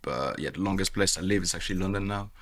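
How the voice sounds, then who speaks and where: A man's voice: deep voice, fast, fairly bright tone. A man in his thirties with a French accent, a tattoo artist chatting loosely in an interview, full of fillers. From France. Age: 30s. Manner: rambling, filler-heavy, often says "you know what I mean". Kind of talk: casual podcast interview.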